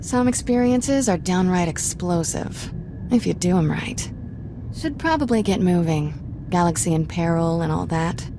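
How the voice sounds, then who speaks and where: An actress playing a witty, flirtatious starship companion with a wry manner. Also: female voice, lowish voice, measured pace, noisy background.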